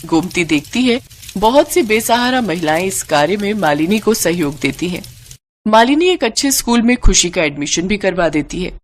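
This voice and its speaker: A woman's voice: mid-range voice, expressive, normal speed, slight background noise. A woman narrating a scripted moral story.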